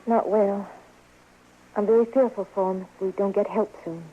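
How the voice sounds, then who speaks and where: An actress playing an earnest, principled frontier schoolteacher with an American accent and proper diction in an old radio western. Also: female voice, medium pitch, steady tone, normal speed, close to an everyday voice. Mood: worried. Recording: muffled, faintly noisy old radio recording.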